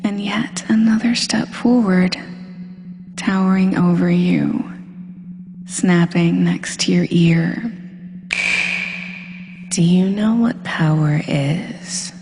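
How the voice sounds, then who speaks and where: A woman playing a smug, domineering female supervillain hypnotist in a scripted roleplay audio, breathy and deliberate, often dropping into a whisper. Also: lowish voice, mellow. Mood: domineering, soothing. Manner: slow, deliberate, hypnotic, commanding. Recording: long hall-like reverb.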